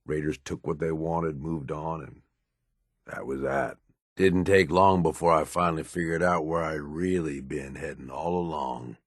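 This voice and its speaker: An actor playing a cynical, gruff middle-aged raider in a video game, with an American accent and a foul-mouthed, streetwise, sardonic delivery. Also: male voice, very deep voice, fairly even intonation, unhurried, no echo, clean studio sound, heavily acted. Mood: reflective. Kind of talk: video game character dialogue.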